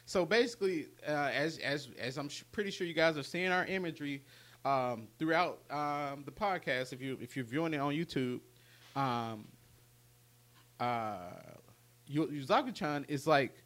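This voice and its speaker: A man's voice: a little high, moderate pace, fairly bright tone. A man in his late thirties chatting casually as a podcast co-host, congested from allergies, rambling and repeating himself as he goes. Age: late 30s. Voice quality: stuffy, congested nose. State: stuffy from allergies. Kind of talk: casual chat.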